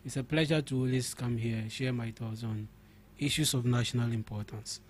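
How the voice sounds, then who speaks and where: A man's voice: mid-range voice, fairly even intonation, normal speed, slight background noise. A young man with a Ghanaian English accent, a guest on a radio talk show, arguing his points emphatically and often repeating words as he builds them. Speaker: studio guest.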